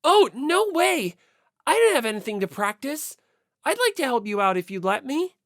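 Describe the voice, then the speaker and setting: A woman's voice: sits high, sing-song, moderate pace, no room sound, clean studio sound, voice pushed up. A woman voicing an earnest, friendly, timid teenage schoolboy in an audiobook, eager and a little nervous. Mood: helpful. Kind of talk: audiobook character voice.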